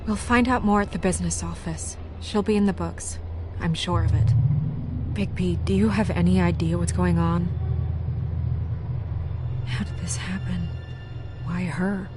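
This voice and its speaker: An actress playing an earnest, composed and pragmatic female official in a video game, speaking in a measured, businesslike way. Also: fairly low pitch, fairly even intonation, lightly acted. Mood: urgent, decisive, then troubled, questioning. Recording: game audio under loud eerie music. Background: loud ominous game music.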